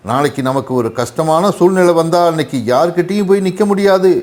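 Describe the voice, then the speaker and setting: A man's voice: high voice, fairly quick, darkish tone, natural voice. A man voicing a hardworking, long-suffering father crow in a cartoon story, resigned and reproachful. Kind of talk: Tamil animated moral story acting.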